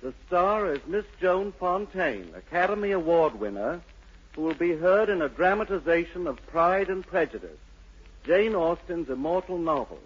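Slightly husky man presenting on vintage radio. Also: a little high, big pitch swings, normal speed. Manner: formal, promotional. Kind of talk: scripted 1940s radio presenting. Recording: muffled 1940s radio broadcast.

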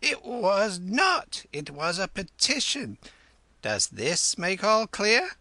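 A man's voice: high voice, huge pitch swings, slow pace, pushed and bright, no room sound, pitched above the performer's own voice, heavily acted. A man playing an imperious old queen. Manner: imperious, scolding, cackling. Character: proud old widowed queen, sharp-tongued.

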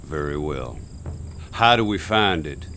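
An actor playing a grim, commanding police inspector who recounts a raid in a radio drama. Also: male voice, extremely low pitch, lively intonation, unhurried, a bit bright, slight background noise, exaggerated voice. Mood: firm, commanding. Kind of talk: radio drama acting with narration. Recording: music under the voice.

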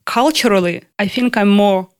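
A young woman from Ukraine, speaking in a lively, chatty way as an interview guest. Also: medium pitch, moderate pace, clear, resonant tone. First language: Russian. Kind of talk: casual conversational interview.

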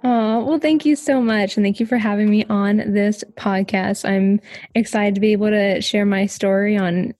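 A woman's voice: medium pitch, steady tone, moderate pace, darkish tone, no room sound, clean studio sound. A young woman, a podcast guest, tells her life story casually, with many filler ums and light laughs. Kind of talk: personal story in an interview.

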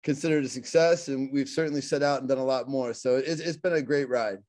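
A man's voice: medium pitch, steady tone, quick pace, a little mellow. A middle-aged man with a Pittsburgh accent, a guest speaker talking earnestly in a casual interview and often repeating and restarting his words.

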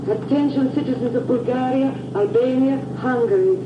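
A woman playing a solemn, earnest announcer of a clandestine freedom broadcast in a 1950s radio drama, speaking deliberately. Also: high voice, natural voice. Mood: solemn, urgent. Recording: muffled, echoing, noisy old radio transmission.

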